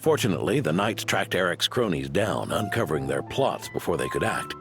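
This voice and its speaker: Over game music, an aging knight with a low, very animated voice. He is kindly but self-reproachful, speaking in a measured, reflective way, like someone weary of old regrets. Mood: grave. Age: older. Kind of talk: video game voice acting.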